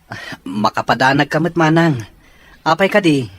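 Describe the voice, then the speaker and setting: Worried young fiancé in radio drama. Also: male voice, a little high, animated, normal speed, a bit bright.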